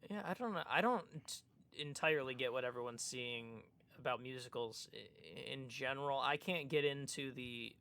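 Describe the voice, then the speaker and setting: A man co-hosting a podcast in casual chat, with a hesitant, halting way of talking. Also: medium pitch, gravelly, pushed and bright. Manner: hesitant, halting, repeats word starts. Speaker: podcast co-host.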